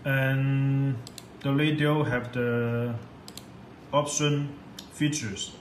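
A man walks through a product tutorial in an echoey, slightly noisy room. His English is simple and halting, he often repeats himself, and his delivery stays quite monotone. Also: mid-range voice. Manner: halting, repetitive, simple non-native English. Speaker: product demonstrator. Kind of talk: unscripted software tutorial. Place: indoor room at a computer desk. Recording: echoey room with background noise.

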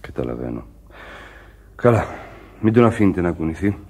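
Ship captain, very deep voice, measured, formal, radio play. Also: male voice, very animated, dark tone. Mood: grave. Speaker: radio drama actor.

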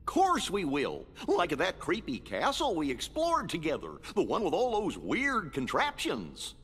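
A goofy, kindly cartoon dog with a husky voice that swoops up and down. He bumbles along in a folksy, hesitant way. The sound has long hall reverb. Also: high-pitched, wildly swooping pitch, measured pace, fairly bright tone, full character voice. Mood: cheerful. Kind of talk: cartoon character acting in video game.

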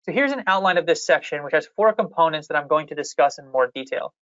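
A methodical presenter at a public hearing, explaining policy options slide by slide in an even, measured way. The voice sits at a middling pitch. The sound is dry and a little muffled, with no room around it. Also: no room sound. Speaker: fishery management staff presenter.

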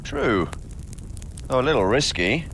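A sceptical male knight from a medieval game. His mid-range voice is practical and matter-of-fact, over a faint rumble. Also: big pitch swings, a little slow, vocal fry, a bit bright, exaggerated voice. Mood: considering. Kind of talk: cinematic video game acting.

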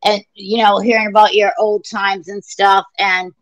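A woman's voice: medium pitch, flat delivery, normal speed, over a noisy crowd, low-quality recording. A middle-aged woman chatting bluntly on a phone video call from her living room, swearing freely and restarting her sentences. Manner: blunt, profane, rambling with restarts. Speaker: guest caller. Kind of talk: casual video-call chat. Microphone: phone held close, video call.

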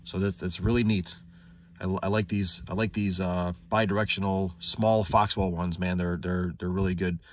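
A man with a low-pitched voice walks through a tool demonstration off the cuff. He sounds like a working technician, chatting conversationally and thinking aloud as he goes. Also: fairly even intonation, moderate pace, slight background noise. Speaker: automotive technician reviewing a scan tool. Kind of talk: casual unscripted product demonstration. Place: inside a parked car in a shop.

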